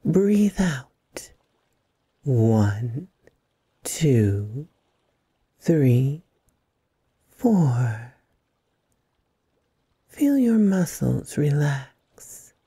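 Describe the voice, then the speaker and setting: A woman hosting a guided meditation, speaking in soothing, deliberate phrases with long pauses and a breathy softness. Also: extremely low pitch, very animated, dry recording, clean studio sound. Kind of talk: slow guided meditation narration.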